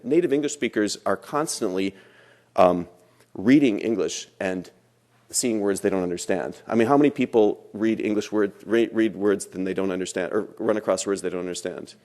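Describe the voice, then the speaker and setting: A man's voice: fairly low pitch, moderate pace, a little mellow. A man giving a lecture as a language teacher, explaining step by step and repeating phrases for emphasis. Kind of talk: classroom lecture.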